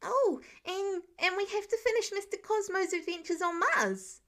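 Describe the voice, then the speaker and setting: A woman's voice: very high voice, moderate pace, fairly bright tone, voice pushed up. A woman voicing an eager, blunt kindergarten-age boy, chirpy, earnest and full of questions. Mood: eager, curious.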